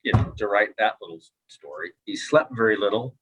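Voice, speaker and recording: Casting: middle-aged man, low voice, doctor who is also a children's author. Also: a little fast, bright, forceful tone, husky, highs cut off. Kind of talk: interview talk and storybook reading.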